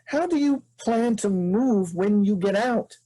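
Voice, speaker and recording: A nervy, defiant clone played by a man taunts and questions in a high, husky voice. The pitch moves around a lot, as in a theatrical stage reading. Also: animated, measured pace, mellow, low-quality recording. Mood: uneasy. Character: nervous, defiant male clone facing his creator.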